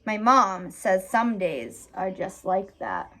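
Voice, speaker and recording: A woman reading a picture book aloud expressively as a teacher, stopping to put simple questions to a child. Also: mid-range voice. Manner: animated, pauses to ask simple questions.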